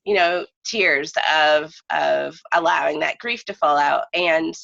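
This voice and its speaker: A woman telling a personal story in casual conversation, speaking in a halting, reflective way with trailing phrases. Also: sits low, vocal fry, a bit bright. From United States, lives in New England. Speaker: guest storyteller. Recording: dull, roomy lo-fi recording.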